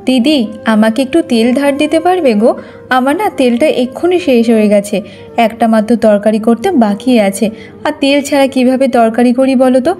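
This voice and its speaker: A woman's voice: high voice, normal speed, dark tone, soft background music, natural voice. A woman voicing a gossipy, friendly village housewife in a cartoon, chatty and wheedling. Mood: pleading. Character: gossipy neighbour housewife, friendly, pleading, hurried. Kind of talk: Bengali moral-story cartoon dubbing.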